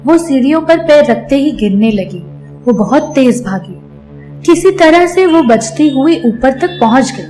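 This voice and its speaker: A woman narrating a scripted children's fairy tale in a measured storytelling manner. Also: high-pitched, expressive, warm tone, faint music behind, poor audio.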